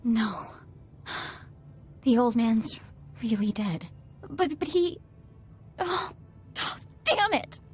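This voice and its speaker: A woman playing a young, eager tech slicer who talks in a quick, chatty, teasing way in video game dialogue. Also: on the high side, expressive. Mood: grief-stricken. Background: faint game music.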